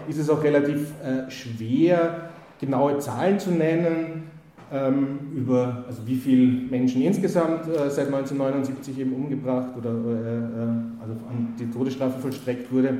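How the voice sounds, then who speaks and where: A man's voice: medium pitch, warm tone. A man giving a spoken lecture, hesitant with many fillers and restarts. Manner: hesitant, full of "äh" and restarts, reads quotes aloud. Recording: very echoey hall.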